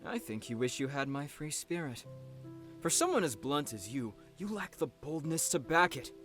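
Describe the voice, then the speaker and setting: A woman's voice: sits low, very animated, moderate pace, soft background music. A woman playing a cheeky, free-spirited young male student in an audio drama, teasing and flamboyant. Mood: cocky, teasing.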